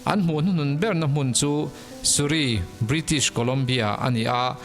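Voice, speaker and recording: Reverberant male storyteller, lower-pitched voice. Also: moderate pace. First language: Mizo. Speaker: narrator of mystery case stories. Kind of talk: scripted storytelling narration. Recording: long reverb, music underneath. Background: background music.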